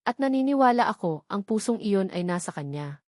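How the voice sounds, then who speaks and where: A woman's voice: mid-range voice, animated, fairly quick, darkish tone, no echo, studio quality, natural voice. A woman voicing a loving mother's farewell letter to her son, tender and earnest.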